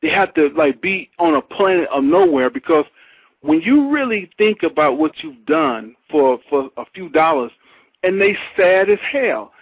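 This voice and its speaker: A man with a raspy, creaky voice talking casually and emphatically in a colloquial way. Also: a little high, big pitch swings, measured pace, no echo. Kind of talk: casual interview talk. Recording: narrow phone-like dry audio.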